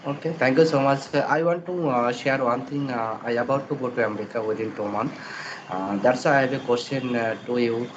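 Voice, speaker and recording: A young man with a Bengali accent and a mid-range voice speaks as an English learner. His delivery is halting and hesitant, with phrases often repeated. The recording is dull and lo-fi, with faint background noise. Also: medium pitch. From Bengal region of South Asia. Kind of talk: learner's question in conversation. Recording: muffled lo-fi call with background noise.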